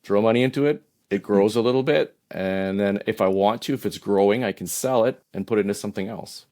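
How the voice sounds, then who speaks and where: Low-voiced Canadian man in his fifties. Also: fairly quick. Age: 50s. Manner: relaxed, hesitant, many "uh" and restarts. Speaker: podcast guest. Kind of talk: casual interview answers.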